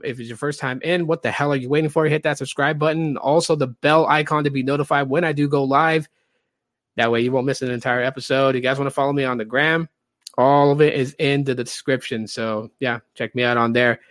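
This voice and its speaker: A man's voice: medium pitch, fairly bright tone, no echo. Casting: late-30s man with a light voice, laid-back podcast host, chatty and rambling, dry close sound. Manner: rambling, chatty, many fillers. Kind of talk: casual livestream podcast talk.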